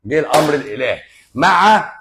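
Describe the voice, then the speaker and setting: A man's voice: fairly high pitch, big pitch swings, normal speed, fairly bright tone, roomy sound. A man with an Egyptian Arabic accent giving a health lecture on a livestream, stressing and repeating his points and mixing in English medical terms. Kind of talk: livestream lecture with viewer questions. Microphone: livestream microphone.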